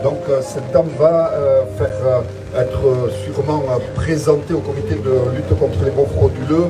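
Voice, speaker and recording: A man's voice: medium pitch, fairly even intonation, moderate pace, rough recording. Guy doing a fake-serious art tour outside, keeps stalling with um, music playing behind. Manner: mock-solemn, hesitant with many fillers. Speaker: mock exhibition guide. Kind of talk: tongue-in-cheek guided tour. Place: outdoors in front of a museum.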